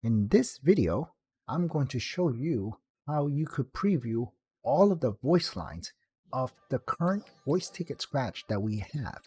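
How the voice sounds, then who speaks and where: A man's voice: mid-range voice, big pitch swings, a little slow, darkish tone, husky, no room sound. A man narrating a casual tutorial guide in a playful, jokey manner, like a game streamer.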